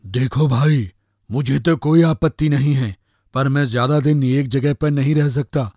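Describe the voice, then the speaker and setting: A man voicing a friendly, confident magic eggplant in an animated story, chummy and reassuring. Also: medium pitch, unhurried, no room sound. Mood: matter-of-fact. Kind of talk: animated moral story voice acting.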